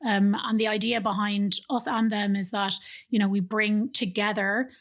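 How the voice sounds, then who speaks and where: A woman's voice: mid-range voice, little pitch movement, moderate pace. Irish woman, middle-aged, podcast guest; explanatory, hesitant phrasing, close stand mic in a homey room. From Ireland. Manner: explanatory, many fillers and restarts. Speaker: guest, think tank director. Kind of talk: conversational interview. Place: living room studio with plants and books. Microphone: studio mic on stand.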